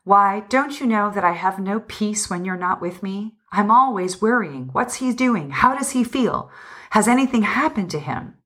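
A woman's voice: medium pitch, lively intonation, moderate pace, a bit bright, pitched above the performer's own voice. A devoted wife from a storybook, acted out by a woman narrator. She is tender and anxious, questioning with constant worry.

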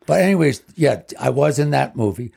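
Older man in his 70s, guest storyteller, gravelly husky voice, rambling. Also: mid-range voice, expressive, fairly quick, mellow. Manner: rambling, leans on "basically". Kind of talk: casual storytelling.

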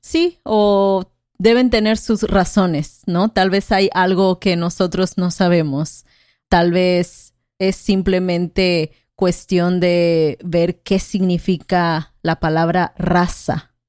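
A woman from Mexico talks through her thoughts in an unhurried, hesitant way, checking in with her partner as she goes. Her voice sits a little low, and the recording is dry and close, with no room sound. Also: steady tone, darkish tone, no room sound. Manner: thoughtful, hesitant, with frequent "¿no?" tags. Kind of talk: casual conversational chat.

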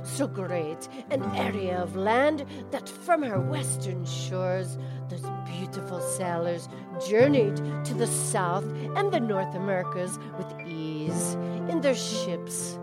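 A woman voicing a gentle female cow in a low, soft, deliberate voice, sometimes reading a tale aloud in character. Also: over loud music, natural voice. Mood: solemn. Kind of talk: storybook narration in character.

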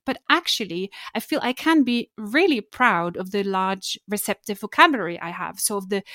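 A woman's voice: mid-range voice, a bit bright, no echo, clean studio sound. A woman with a German accent, answering interview questions in a thoughtful, hesitant way with frequent restarts. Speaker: guest. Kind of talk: reflective interview conversation.

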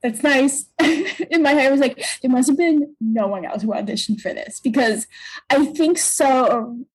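A young American woman with a high, childlike voice chats in a casual interview. She speaks reflectively and a little hesitantly, finding her thoughts as she goes. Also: normal speed, darkish tone, breathy edge, rough recording. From Pennsylvania, United States. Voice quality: childlike, youthful tone. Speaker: voice and stage actor, interview guest.

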